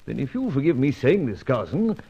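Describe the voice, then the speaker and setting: An actor playing a suave, skeptical older British knight of the secret service in a radio serial, pompous and dryly sardonic, with a British accent. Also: male voice, mid-range voice, big pitch swings, fairly quick, vocal fry, warm tone, husky, full character voice. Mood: cautioning. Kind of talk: old-time radio adventure serial acting. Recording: dull, muffled old radio recording.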